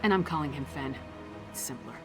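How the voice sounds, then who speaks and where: Low-voiced, blunt, resolute woman investigator played by an actress. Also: fairly quick, over loud music, natural voice. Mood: matter-of-fact. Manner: blunt, decisive, dry. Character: brisk, blunt female investigator, resolute, commanding. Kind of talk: video game character acting.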